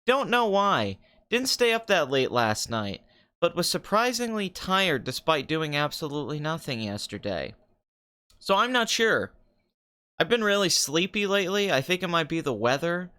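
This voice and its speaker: Male podcast host for casual live chat: very animated pitch, clean tone with a touch of morning roughness, crude joking, loose rambling delivery. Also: normal speed. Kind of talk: free-form casual live stream chat.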